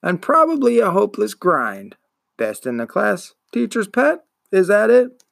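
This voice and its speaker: A man voicing a gruff, scornful grown man from a storybook, questioning bluntly and mockingly. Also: high-pitched, huge pitch swings, unhurried, fairly bright tone, no room sound, studio quality, pitched above the performer's own voice, heavily acted. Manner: interrogating, mocking.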